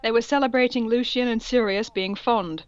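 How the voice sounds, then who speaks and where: A woman's voice: a little high, normal speed, dull audio, slight background noise. A woman co-hosting a podcast, reading fan fiction aloud in a mock-dramatic way and breaking off for amused asides. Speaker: podcast co-host.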